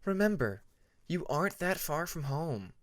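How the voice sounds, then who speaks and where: A man's voice: fairly high pitch, very animated, unhurried, no echo, clean studio sound. A man voicing a grown female dragon, a kindly and reassuring friend, in a narrated story. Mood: affectionate.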